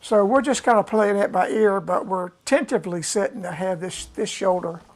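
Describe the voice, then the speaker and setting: A man, chatting casually and unhurriedly to his live audience as a musician host, with many pauses. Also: high voice. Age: 70s. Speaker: musician hosting a live stream. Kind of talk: rambling casual chat.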